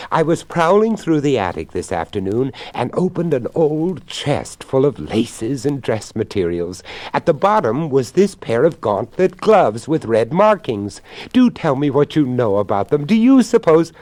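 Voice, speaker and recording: A man voicing an eager, delighted young wife in a ghost story, with an excited, pleading manner. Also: mid-range voice, moderate pace, no echo, voice pushed up, close to an everyday voice. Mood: eager excitement.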